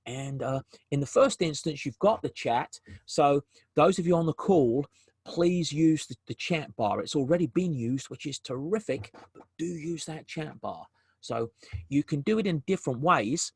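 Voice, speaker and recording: A man's voice: fairly high pitch, expressive, moderate pace, gravelly, breathy edge, no room sound. A man with a South African accent presenting a business webinar over a video call, often repeating words and restarting phrases as he goes.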